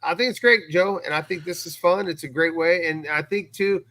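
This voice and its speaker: A man as a guest in a casual live recap chat, thinking aloud in a halting way and repeating words. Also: sits high, fairly even intonation, fairly bright tone, no echo.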